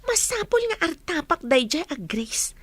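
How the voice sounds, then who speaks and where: A woman's voice: very high voice, very animated, measured pace, a bit bright, no room sound, full character voice. A woman acting in a radio drama as a scheming young mistress, pouty and gloating.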